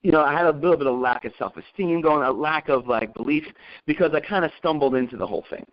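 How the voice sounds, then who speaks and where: A man's voice: a little high, fast talker. A man presenting an informal motivational talk as a career coach, telling personal anecdotes, repeating points emphatically and checking in with listeners. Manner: conversational, checks in with listeners with tag questions. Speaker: acting career coach presenting a group call. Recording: dull, lo-fi conference call audio.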